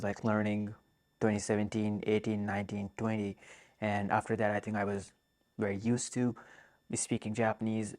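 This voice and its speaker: Earnest young man, flat low voice. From Pakistan. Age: 20s. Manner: soft-spoken, earnest, with frequent "like" fillers. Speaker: vlogger. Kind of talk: casual Q and A monologue.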